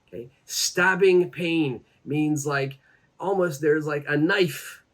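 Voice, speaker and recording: A man in his thirties teaching English to camera, seated in a plain room, saying each phrase slowly and then repeating it. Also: a little high, fairly bright tone. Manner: slow and deliberate, repeating each phrase twice. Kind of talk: slow, clear English lesson to camera. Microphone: camera framed at chest height, seated.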